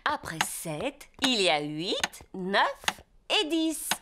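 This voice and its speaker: A woman's voice: medium pitch, very animated, slow pace, noisy background. A woman voicing a kind, encouraging animal schoolteacher in a French-dubbed preschool cartoon.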